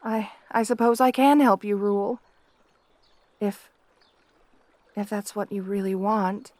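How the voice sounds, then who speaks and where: A woman's voice: medium pitch, steady tone, unhurried, darkish tone, no room sound, lightly acted. A woman playing a shy, devoted young princess in a romantic ASMR roleplay, hesitant and deferential, often stammering. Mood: tender, hopeful.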